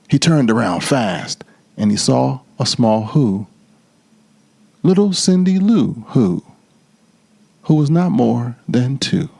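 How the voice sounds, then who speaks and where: Animated, slow-paced man reading a storybook. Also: medium pitch, creaky, slightly rough, no echo. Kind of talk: expressive storybook read-aloud.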